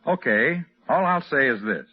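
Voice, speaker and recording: A man's voice: medium pitch, lively intonation, moderate pace. A man delivering a folksy, scripted 1950s radio commercial. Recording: muffled old radio recording.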